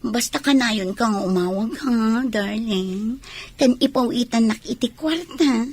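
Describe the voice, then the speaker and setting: A woman's voice: a little high, unhurried, darkish tone, husky. A woman playing a sweet-talking adult lover in a comic radio drama, stretching out her endearments. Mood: coaxing.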